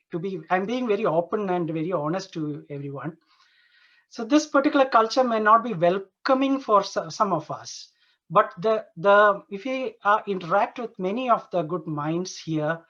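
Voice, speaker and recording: A man's voice: sits high, sharp tone. Man presenting over dull, lo-fi audio. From Dubai. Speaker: conference speaker, Ayurveda doctor. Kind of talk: informal conference presentation. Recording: dull, lo-fi online conference audio.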